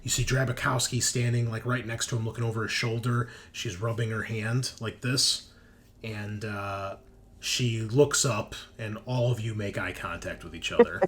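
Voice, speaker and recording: A man's voice: fairly low pitch, steady tone, moderate pace, a bit bright. Man game-mastering over background noise. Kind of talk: narrating a tabletop roleplaying game, conversational. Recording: noisy background ambience.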